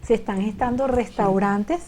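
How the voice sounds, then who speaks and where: Effusive Venezuelan woman hosting, mellow voice. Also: mid-range voice, moderate pace, a little hiss or hum. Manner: effusive, interjecting. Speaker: radio or online interview show host. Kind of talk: warm, chatty interview. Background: music playing in the background.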